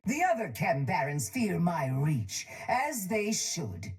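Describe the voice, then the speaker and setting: Low, slow, masked villainess. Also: female voice, sing-song. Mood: cold, commanding. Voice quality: voice filtered through a breathing mask. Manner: cold, deliberate, commanding. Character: ruthless, haughty chem baroness, wealthy businesswoman villain. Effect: breather mask filter. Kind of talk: video game character voice lines. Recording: music playing behind the voice.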